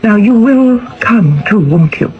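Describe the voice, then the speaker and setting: An actress playing a spoiled, discontented, scheming wife in a radio drama, with an imperious, theatrical manner. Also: female voice, mid-range voice, animated, unhurried, soft background music, lightly acted. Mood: coaxing. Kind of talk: 1980 radio drama acting. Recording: muffled old radio recording.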